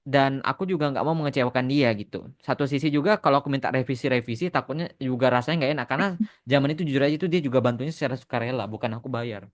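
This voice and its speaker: A man's voice: mid-range voice, rapid-fire. A young man telling stories casually on a podcast, informal and mixing in English phrases. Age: young adult. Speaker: animation creator answering fan questions on a podcast.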